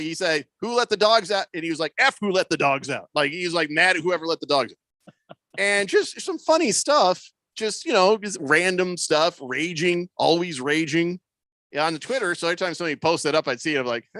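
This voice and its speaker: Sports radio host guy, bright sharp voice, dry studio sound, tells stories and reads out comments. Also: fairly high pitch, animated, brisk, dry recording. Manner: storytelling, reads listener comments aloud. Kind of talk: casual talk show banter.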